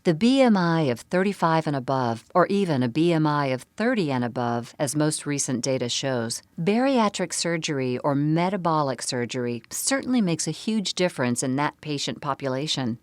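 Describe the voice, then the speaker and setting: A woman giving a scripted, measured explanatory narration for a medical seminar. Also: sits low, no room sound. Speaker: presenter of a bariatric surgery program.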